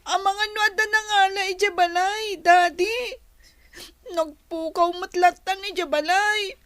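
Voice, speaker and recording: A woman's voice: very high voice, steady tone, measured pace, fairly bright tone, dry recording. A woman voicing a hurt, tearful little schoolgirl in a radio drama, whining and plaintive as she complains. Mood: hurt, pleading.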